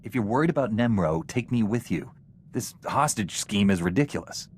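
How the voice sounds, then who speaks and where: An actor playing a principled, serious Jedi knight in a video game, with a deep, measured voice. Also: male voice, expressive, a little mellow, a little hiss or hum, close to an everyday voice. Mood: skeptical. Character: earnest, principled, steady male Jedi knight.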